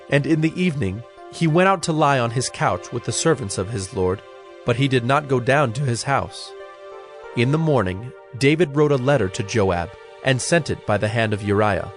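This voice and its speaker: Formal male scripture narrator, music behind. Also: mid-range voice.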